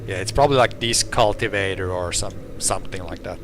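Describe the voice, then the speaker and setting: Laid-back farmer, low voice. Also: male voice, background noise. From Finland. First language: Swedish. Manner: halting, restarts phrases, laid-back. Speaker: organic farmer. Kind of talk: casual chat while tractoring. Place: tractor cab.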